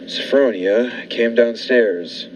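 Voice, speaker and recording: A man's voice: mid-range voice, takes it slowly, slight background noise, natural voice. A man playing a brusque, controlling hotel-owner bridegroom in an old-fashioned radio thriller, with a curt, ominous delivery. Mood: grim, ominous. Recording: muffled lo-fi radio play with music.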